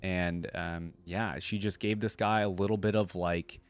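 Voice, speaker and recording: Low-voiced man, casual reaction host. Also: fairly even intonation, measured pace, clear, resonant tone. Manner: informal, conversational. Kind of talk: casual commentary and story read-aloud.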